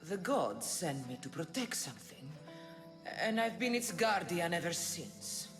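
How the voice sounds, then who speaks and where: A woman's voice: lowish voice, sing-song, measured pace. A woman playing the Sphinx, an imperious monster guarding truth in a video game, with a haughty, toying delivery. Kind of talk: video game cutscene acting. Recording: music behind the voice.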